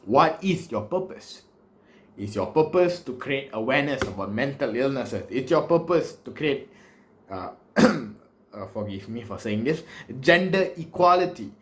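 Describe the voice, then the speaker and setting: A man's voice: medium pitch, a little slow. A man in his twenties with a Malaysian English accent, giving a casual, joking speech-writing lesson from a small room, gesturing a lot and drifting off topic. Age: 20s. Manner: animated, joking, big hand gestures, wanders off topic. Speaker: speech coach. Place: small bedroom with bookshelves, indoors.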